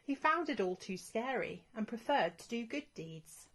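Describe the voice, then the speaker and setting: A woman reading a rhyming children's storybook as scripted narration, in an expressive storytelling manner. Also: a little high, moderate pace, rough recording.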